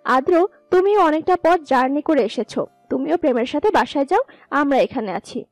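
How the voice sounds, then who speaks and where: A woman's voice: high voice, animated, moderate pace. A woman playing a caring, motherly middle-aged aunt in a Bengali audio story. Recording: music under the voice.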